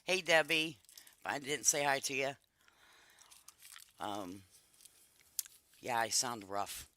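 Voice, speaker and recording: A woman hosting a casual livestream, congested and a bit raspy from a cold, chatting to her viewers like friends. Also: very deep voice, expressive, slow pace, pushed and bright, a little hiss or hum. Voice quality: raspier than usual. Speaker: livestream host. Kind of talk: casual reaction livestream chat.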